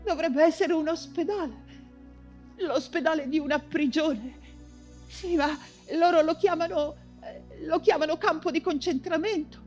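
An actress playing an anguished, ailing woman writer imprisoned in a camp, in a halting, fevered theatrical monologue. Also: high voice, darkish tone, faint music behind. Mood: anguished, tender. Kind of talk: dramatic stage monologue in Italian theatre.

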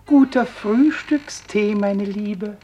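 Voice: mid-range voice, slow, mellow, slight background noise, lightly acted. An actor playing a meek husband in a radio play, sweetly polite on the surface and slyly whispering murderous thoughts. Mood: sly sweetness.

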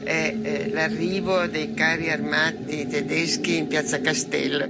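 A man's voice: a little high, little pitch movement, unhurried, gravelly. An older man reflects on a memory, hesitant and qualifying each phrase. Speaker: witness giving testimony. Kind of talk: reflective recollection in a documentary. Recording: very noisy location recording.

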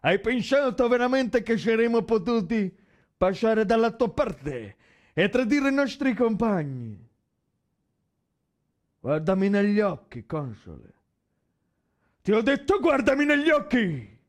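Casting: menacing partisan, high-pitched and swooping, taunting his enemy slowly in a radio play. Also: big pitch swings. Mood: menacing, defiant. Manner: slow, commanding, taunting. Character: captured partisan man, defiant, menacing, contemptuous. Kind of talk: theatrical radio drama.

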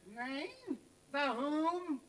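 An actor playing a fearful, gossipy caretaker with a Bavarian accent in a children's radio play, husky and fretfully repeating himself. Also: male voice, very high voice, very slow, fairly bright tone, full character voice. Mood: curious alarm. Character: fearful, gossipy male caretaker with lurid imagination.

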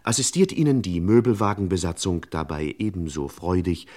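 An actor playing a wry, pompous, mock-solemn narrator of a comic crime radio play. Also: male voice, deep voice, normal speed, a little mellow.

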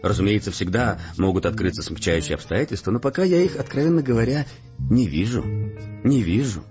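An actor in a Russian audio play playing a police investigator who is smoothly menacing, officious and deliberate, with mock courtesy. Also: male voice, lowish voice, sing-song. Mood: smug, menacing. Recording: dull-sounding audio play with background music. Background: music.

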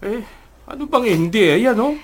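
A man's voice: high-pitched, lively intonation, moderate pace. Worried father, swooping intonation. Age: middle-aged. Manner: concerned, pressing, emotional. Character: worried, struggling vegetable-seller father. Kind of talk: Filipino radio drama acting.

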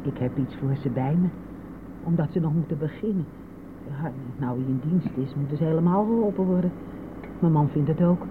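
A woman's voice: deep voice, a little fast, natural voice. An actress playing a modest, concerned middle-aged mother-in-law in a radio play, speaking in a hesitant, earnest, plain way. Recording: noisy, phone-like old radio recording.

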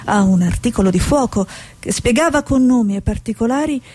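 An actress playing a haunted, searching woman journalist in a radio drama, with a breathy voice. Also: fairly high pitch, lively intonation, fairly quick, dark tone. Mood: brooding, investigative. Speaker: actor in a radio play. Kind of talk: dramatic stage monologue and dialogue.

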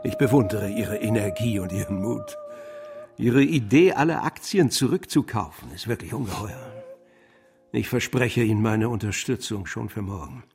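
An actor playing an eager, loyal gentleman investor in a German radio drama, earnest, formal and admiring. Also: on the low side, expressive, moderate pace, soft background music.

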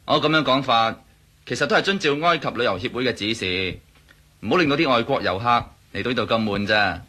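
A man's voice: mid-range voice, lively intonation, a little fast, bright, forceful tone, slightly rough. A man playing a talkative, sly Egyptian hotel waiter in a radio drama, wordy and self-justifying. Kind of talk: Cantonese radio drama acting.

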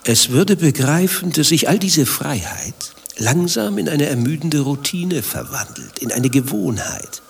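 A man's voice: on the high side, very animated, a little slow, creaky, few pauses, exaggerated voice. An actor playing an infatuated married man in a radio play, defensive and given to impassioned philosophizing. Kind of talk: German radio play dialogue acting.

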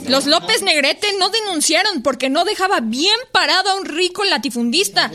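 An actress playing an assertive commentator in a scripted radio drama, arguing emphatically. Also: female voice, very high-pitched, expressive, normal speed, bright, forceful tone, exaggerated voice. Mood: indignant. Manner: emphatic, argumentative. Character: assertive female commentator rebutting historical claims.

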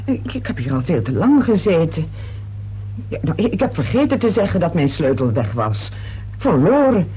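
A woman's voice: low-pitched, very animated, moderate pace, warm tone, husky, full character voice. An actress in a radio play playing a nervous, defensive woman under questioning, hesitant and insistent as she protests. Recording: radio play recording with hiss and hum.

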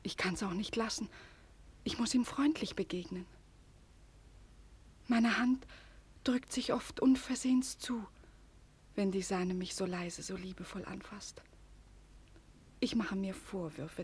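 An actress playing a spirited young woman in love and torn between two men, theatrical and breathy, often dropping to a near-whisper, in a vintage German radio play. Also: mid-range voice, measured pace, pauses often. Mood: tender, troubled. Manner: theatrical, emotionally expressive. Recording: vintage 1949 radio recording.

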